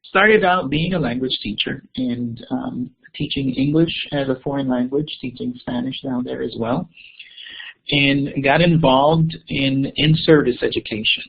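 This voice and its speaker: Keynote speaker, man from Costa Rica, hesitant, husky voice, phone-quality audio. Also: mid-range voice, measured pace. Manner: hesitant, many ums and repeated words. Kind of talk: webinar presentation.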